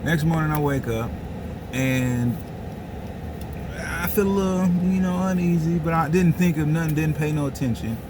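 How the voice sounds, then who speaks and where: A man's voice: a little high, moderate pace, noisy background. A man telling a comic personal story casually, with slangy asides and phrases repeated for dramatic effect. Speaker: storyteller and host.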